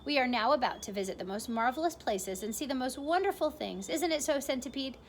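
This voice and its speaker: A woman reading aloud and voicing a kind, gentle female ladybug with a patient, reassuring manner. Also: sits high, expressive, a little fast, slight background noise.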